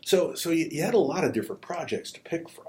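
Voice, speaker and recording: A man's voice: on the high side, expressive, quick pace, darkish tone, a little hiss or hum. A man interviewing a student in a friendly, joking way, often restarting his sentences.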